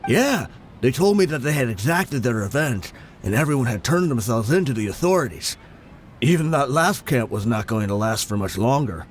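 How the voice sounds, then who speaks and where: A man's voice: medium pitch, very animated, normal speed, a little hiss or hum, natural voice. An actor voicing a weary, honest male mercenary in a video game, easygoing and resigned.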